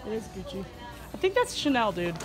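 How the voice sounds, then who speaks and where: A woman's voice: mid-range voice, very animated, fairly quick. A teenage girl filming friends on her phone in a shopping mall, talking casually, teasing and laughing often. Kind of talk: casual chat behind the camera. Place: large indoor shopping mall. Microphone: phone held in hand. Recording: busy mall crowd, music behind.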